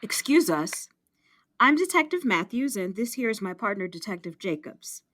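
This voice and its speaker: A woman's voice: mid-range voice, very animated, moderate pace, fairly bright tone, slight background noise. An actress playing a formal, matter-of-fact female police detective in an audio drama. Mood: official, grave.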